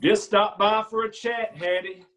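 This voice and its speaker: A man reads a middle-aged country sheriff with a drawl, pitched a little above the usual for a man. He needles the people he questions in a falsely friendly way, and he sounds pleased with himself. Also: steady tone, a little slow, a bit bright. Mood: smug. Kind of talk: staged play reading.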